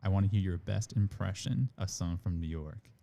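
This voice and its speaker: Low, mellow-voiced man rambling casually. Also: fairly quick, gravelly. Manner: rambling, many "like" and "again" fillers. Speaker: international admissions manager, guest. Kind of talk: conversational podcast interview.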